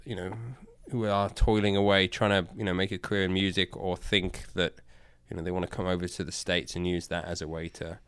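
A man co-hosting a podcast interview, easygoing and quick to agree in casual chat. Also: low voice, little pitch movement, a bit bright, clear, resonant tone, no echo, clean studio sound. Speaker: podcast co-host.

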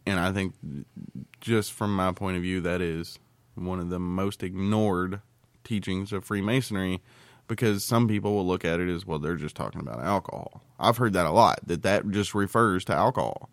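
Low-voiced man, casual and folksy. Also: moderate pace, no room sound. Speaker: podcast co-host. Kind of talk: casual chat.

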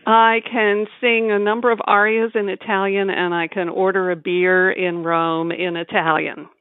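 A woman giving explanatory answers as an interview guest, articulate and measured, speaking in full, well-formed sentences. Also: mid-range voice, flat delivery, no echo. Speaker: tax advisor, interview guest. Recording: tinny phone-like line, dry.

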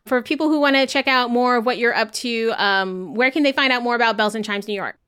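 Upbeat podcast host lady, smooth clear voice, kinda high, lots of ums. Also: fairly quick, sharp tone. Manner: upbeat and chatty, with frequent fillers. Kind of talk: casual chat.

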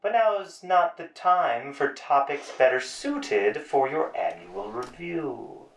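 A man's voice: a little high, lively intonation, takes it slowly, gravelly, fairly bright tone, a little hiss or hum, full character voice. A man playing a scheming, pompous doctor who drawls theatrically and drops into whispers, with music behind. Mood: sly. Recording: music underneath.

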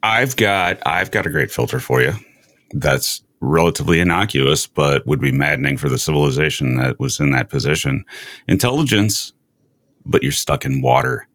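Man, extremely deep voice, science show host, casual, musing, measured, dry recording. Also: lively intonation, fairly bright tone, no room sound.

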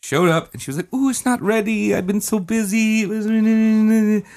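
A man's voice: high voice, steady tone, a little slow, darkish tone. A man co-hosting a podcast, chatting and joking casually. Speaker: podcast co-host.

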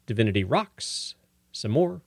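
A man's voice: medium pitch, sing-song, measured pace, vocal fry, husky, no room sound, studio quality. A man hosting a radio music show, chatting casually as he announces songs.

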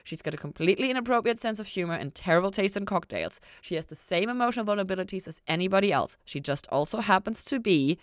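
A woman's voice: mid-range voice, lively intonation, fast talker, hardly any pauses, dry recording, clean studio sound, lightly acted. A woman reading audiobook-style dialogue as a reassuring, frank therapist friend, confiding and emphatic. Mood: apologetic, firm.

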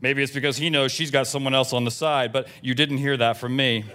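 A man's voice: mid-range voice, fairly even intonation, fairly quick, pushed and bright, clean tone, close to an everyday voice. A man voicing a nosy, self-righteous Southern church lady in her seventies, indignant and blunt, in a comic reading of emails. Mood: aggrieved, gossipy.

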